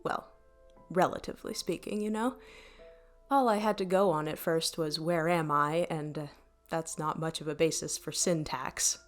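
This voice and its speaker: Woman doing a female ship ai for an audio drama, kinda halting and sorry, curious, soft music under it. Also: deep voice, steady tone, lightly acted. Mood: sheepish, explaining. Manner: hesitant, apologetic, halting, conversational. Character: sentient starship AI, apologetic, earnest, inquisitive. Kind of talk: audio drama dialogue and log entries.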